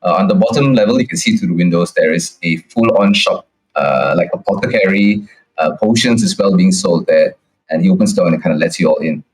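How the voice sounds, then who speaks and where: A man narrating a tabletop game as game master, improvising in a halting way. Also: low-pitched, rough recording.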